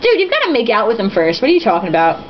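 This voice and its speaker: A woman's voice: on the high side, huge pitch swings, rapid-fire. A woman reading a novel aloud in a casual, comic way, with sarcastic, foul-mouthed asides. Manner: tipsy drinking-game reader. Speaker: host reading a novel aloud with mocking commentary. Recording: dull lo-fi with faint hiss.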